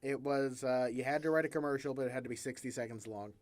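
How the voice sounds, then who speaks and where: A young man from the American South without a Southern accent, hosting a casual chat, relaxed and chatty with lots of fillers and laughs. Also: mid-range voice, fast, no echo. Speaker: podcast host.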